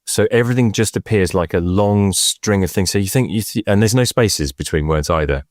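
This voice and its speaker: A man with a low voice gives casual, expert explanations on a podcast. He is hesitant and often rephrases as he goes. The recording is close and dry. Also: fairly quick, a little mellow, no echo. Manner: hesitant, circling, self-correcting explanations. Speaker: Egyptologist, podcast co-presenter.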